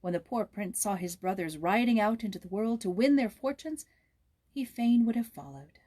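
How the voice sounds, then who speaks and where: A woman narrating a children's story aloud in a measured, expressive storytelling manner. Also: medium pitch. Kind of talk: storybook read-aloud with informal introduction.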